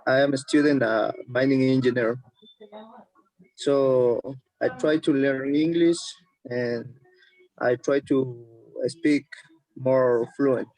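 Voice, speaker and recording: A man's voice: mid-range voice, steady tone, slow pace, a little mellow, dry recording, rough recording. A young man from Ecuador, a mining engineering student, introducing himself carefully and formally on a video call.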